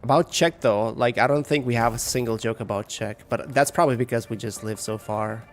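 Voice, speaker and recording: A man's voice: medium pitch, fairly quick. A man from Lithuania with a Lithuanian accent, a game streamer giving casual, rambling and somewhat hesitant commentary and chat. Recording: music behind the voice. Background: music.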